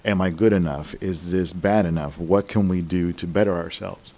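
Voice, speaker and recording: Man, low voice, co-host and interviewer, casual conversation. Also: steady tone, normal speed, warm tone.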